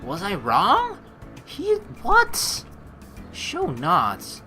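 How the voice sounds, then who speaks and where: A man's voice: high voice, wildly swooping pitch, very slow, pushed and bright, faint music behind. A man hosting a let's play, reading story text aloud and adding casual, rambling, slangy commentary with some swearing. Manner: rambling, slangy, exasperated asides, profane.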